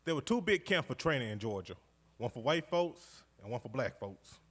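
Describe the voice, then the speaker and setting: An actor playing a jovial, warmhearted World War II veteran from Georgia with a Southern accent, spinning his memories in a folksy, exuberant way on stage. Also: medium pitch, big pitch swings, moderate pace. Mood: reminiscing. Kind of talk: live stage storytelling monologue, conversational. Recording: live stage recording in recreation hall.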